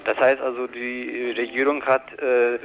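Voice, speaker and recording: A man answering as an interview guest, halting and searching for words with many hesitations. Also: mid-range voice, flat delivery, vocal fry, a bit bright, lo-fi sound. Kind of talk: unscripted political interview.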